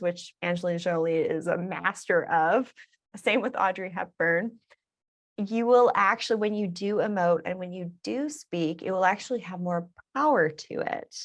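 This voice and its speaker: A woman's voice: lowish voice, unhurried. A woman speaking as a guest in a podcast conversation, talking in a reflective way and offering advice to listeners. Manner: reflective and advice-giving, with soft laughs between points. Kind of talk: casual conversational interview.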